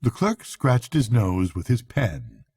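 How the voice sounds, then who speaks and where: An older man narrating a bedtime story aloud as a storyteller, with measured, dramatic phrasing. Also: medium pitch, sing-song, darkish tone, breathy edge, no room sound, clean studio sound. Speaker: storyteller, grandfather reading aloud.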